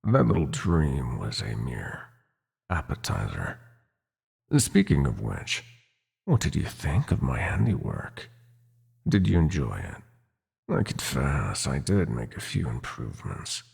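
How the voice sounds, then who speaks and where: Very deep, gravelly nightmare god. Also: male voice, wildly swooping pitch, darkish tone, heavily acted. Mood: teasing, seductive. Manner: slow, theatrical, teasing drawl. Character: sinister seductive nightmare god, predatory, gloating, possessive male. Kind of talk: roleplay audio drama, ASMR. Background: music.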